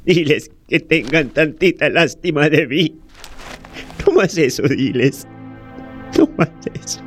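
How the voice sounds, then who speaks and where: Frightened elderly condemned man, halting delivery, very unhurried, radio play with prominent music. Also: fairly high pitch, animated. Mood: pleading. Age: older. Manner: hesitant, stammering, slow pleading. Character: frightened, pleading old peasant man, condemned captive. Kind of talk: audio drama acting.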